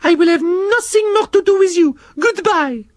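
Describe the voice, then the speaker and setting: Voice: very high-pitched, moderate pace, no echo, studio quality, voice pushed up. A storyteller voicing an elegant, proper female snail who scolds two quarrelling friends. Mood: stern. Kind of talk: storybook reading.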